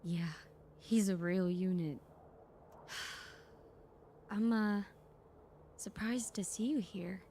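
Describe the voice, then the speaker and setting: A woman's voice: medium pitch, extremely slow, long pauses, mellow, slight background noise. A woman acting a weary, bookish, dryly sardonic soldier-scholar in a sci-fi audio drama, with natural, hesitant conversational delivery. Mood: weary, concerned.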